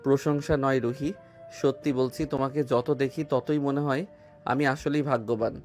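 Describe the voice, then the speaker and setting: A man's voice: mid-range voice, steady tone, moderate pace, darkish tone, close to an everyday voice. A male voice actor playing a romantic young husband who teases his wife tenderly in an audio drama. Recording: music bed underneath.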